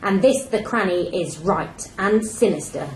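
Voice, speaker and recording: Lady being a talking wall, very solemn n slow shakespeare verse, echoey and a bit noisy. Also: mid-range voice, close to an everyday voice. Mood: solemn announcing. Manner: deliberate, declamatory verse delivery. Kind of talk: amateur Shakespeare radio play. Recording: echoey room with background noise.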